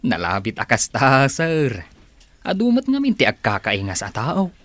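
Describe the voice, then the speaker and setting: A man's voice: medium pitch, huge pitch swings, a little slow, fairly bright tone, no echo, exaggerated voice. A man acting in a radio drama as a respectful, friendly aide who runs detective errands. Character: deferential male aide doing detective errands, friendly when asking around.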